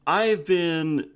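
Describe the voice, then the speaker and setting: A man's voice: fairly high pitch, fairly bright tone. Joking male podcast host with a cold. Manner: joking, self-deprecating. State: head cold. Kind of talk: casual chatty podcast hosting.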